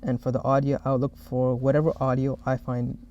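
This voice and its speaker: A man narrating a software demo as the developer who made it, explaining each step. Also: mid-range voice, level tone, a little fast, slight background noise. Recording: dull, muffled lo-fi audio.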